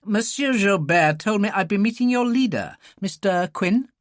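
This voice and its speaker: Guy doing a posh english arms dealer in an audiobook, high and polite, bit nervy, bouncy intonation. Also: expressive, normal speed, no room sound, studio quality. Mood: polite, smooth. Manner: clipped, polite, plummy old-chap speech, nervously eager. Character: disguised small man posing as genteel English arms dealer.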